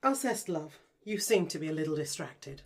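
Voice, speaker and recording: A woman's voice: low-pitched, sing-song, normal speed, heavily acted. A woman playing a brash, flirtatious, down-to-earth character in a comic audio drama, talking in a chummy, blunt, colloquial way.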